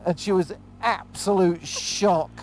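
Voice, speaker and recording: A British man with a slight lisp tells stories that mock himself. His voice sits high for a man. Clear road noise from the vehicle runs under it. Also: steady tone, takes it slowly. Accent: British English. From Britain. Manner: self-mocking, laughing at himself. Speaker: vlogger. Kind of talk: casual storytelling chat. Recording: loud road noise behind.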